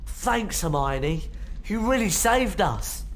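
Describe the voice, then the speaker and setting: A man's voice: high-pitched, big pitch swings, takes it slowly, a little hiss or hum, full character voice. A man voicing an earnest, grateful teenage schoolboy wizard in a video game. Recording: echoey hall, music behind.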